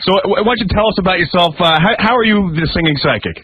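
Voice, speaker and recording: A man doing a halting, informal radio interview as a stand-in host, bluntly cutting in. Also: high voice, a little hiss or hum. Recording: dull, lo-fi radio recording.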